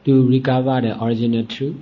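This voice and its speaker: A male Buddhist teacher gives guided meditation instruction in short, deliberate phrases with long pauses. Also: medium pitch, little pitch movement. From Myanmar. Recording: dull lo-fi recording.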